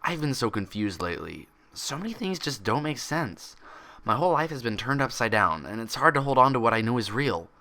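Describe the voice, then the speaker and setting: Guy playing a meek guilty unicorn girl, hesitant, sorry about everything, bright tone, story reading. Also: mid-range voice, sing-song, a little fast. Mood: remorseful. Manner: hesitant, apologetic. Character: meek, guilty young female unicorn patient.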